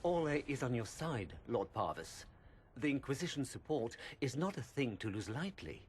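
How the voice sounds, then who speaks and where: An actor playing a flattering noble duke in a video game, formal and smooth-talking. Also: male voice, mid-range voice, moderate pace, a little hiss or hum, lightly acted. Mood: cautioning. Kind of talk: video game cutscene acting.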